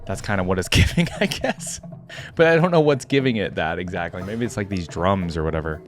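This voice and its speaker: A man giving casual, off-the-cuff reaction commentary as a video host, rambling as he thinks out loud. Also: low voice, animated, a little fast, soft background music.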